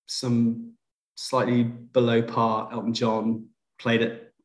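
A man's voice: medium pitch, completely flat delivery, slow pace. Brief: a man from Liverpool, a podcast guest, chatty and self-deprecating, in a roomy echo. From Liverpool, England. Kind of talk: casual conversation.

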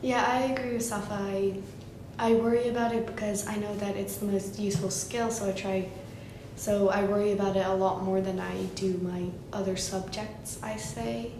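A woman's voice: little pitch movement, normal speed. A teenage female student sharing her views in a casual discussion. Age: teens. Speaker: school student. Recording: roomy, clear rumbling background noise.

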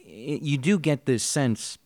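A man's voice: mid-range voice, a little slow, a little mellow, dry recording. A man co-hosting a podcast, chatting casually about a miniseries, rambling and joking with frequent laughs. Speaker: podcast co-host. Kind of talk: casual chat reviewing a miniseries.

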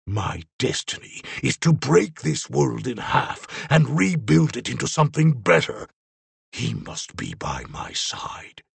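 A man's voice: medium pitch, very animated, unhurried, vocal fry, breathy edge, no echo, full character voice. A man voicing a menacing, faceless monster who declaims in a grandiose, ominous manner. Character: menacing faceless shelled monster, reshaper of time and space.

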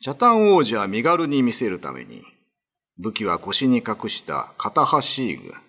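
A man's voice: mid-range voice, huge pitch swings, takes it slowly, sharp tone, breathy edge. A man narrating an old ghost tale as a scripted radio drama, in a dramatic storytelling style.

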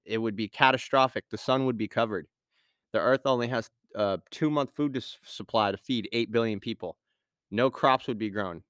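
A man with a lowish voice, a little scratchy from a sinus infection, talks through a solo podcast episode in a studio. He rambles casually, restarts his sentences and leans on fillers. The sound is clean and smooth, close and dry with no room around it. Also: a bit bright, no room sound. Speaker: podcast host.

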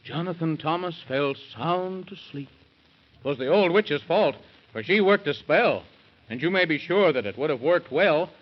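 A man narrating a rhymed children's bedtime story in a theatrical, sing-song 1930s radio style. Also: on the high side. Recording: muffled 1930s radio recording.

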